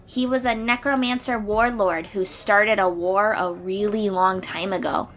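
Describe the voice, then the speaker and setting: A woman's voice: mid-range voice, steady tone, unhurried, a bit bright. A woman voicing a confident, chatty thirteen-year-old sea-dweller girl warrior in a fantasy audio roleplay, perky and informal. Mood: serious, explaining.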